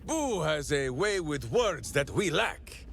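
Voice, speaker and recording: A man's voice: a little high, sing-song, takes it slowly, creaky, fairly bright tone, a little hiss or hum, full character voice. A man playing a big-hearted, simple warrior ranger in a video game, bombastic and oddly formal. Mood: friendly, boastful. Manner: bombastic, refers to himself in third person, oddly formal phrasing. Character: big-hearted, simple heroic ranger warrior who talks through his hamster. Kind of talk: theatrical video game character acting. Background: background music.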